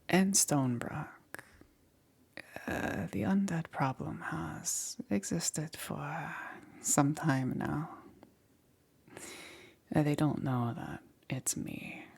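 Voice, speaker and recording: A woman playing a lonely, gently possessive witch in an ASMR roleplay, speaking in a soft, hesitant, breathy murmur that often drops into a whisper. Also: deep voice, full character voice.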